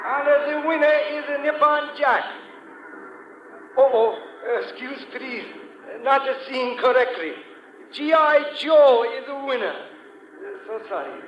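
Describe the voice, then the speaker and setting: Japanese-accented racetrack announcer, very high, slow, polite broken English, echoing loudspeaker, radio play. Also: male voice, animated, full character voice. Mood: flustered, apologetic. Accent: caricatured Japanese. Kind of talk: 1950s radio drama acting. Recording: echoey, muffled, hissy vintage radio recording.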